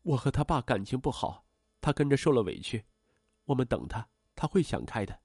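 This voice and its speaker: A man voicing a gentle, hesitant middle-aged mother in a story narration, speaking in a hushed, coaxing way. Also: mid-range voice, animated, moderate pace, dry recording, clean studio sound. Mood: quietly resigned. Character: soft-spoken, pleading middle-aged mother, hesitant and bashful. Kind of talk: acted dialogue in audio story narration.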